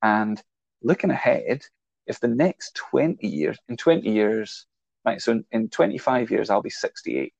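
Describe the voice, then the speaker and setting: Gravelly middle-aged man musing at length. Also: fairly low pitch, animated, unhurried, a little mellow, no room sound, highs cut off. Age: 40s. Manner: explaining at length, playfully teasing. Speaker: podcast co-host. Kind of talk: casual film chat.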